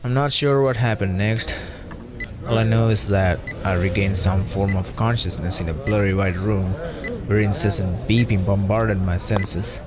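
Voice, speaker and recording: A man's voice: on the low side, steady tone, moderate pace, a crowd in the background. A man reading a scripted first-person horror story as narrator, with a brooding, dramatic delivery.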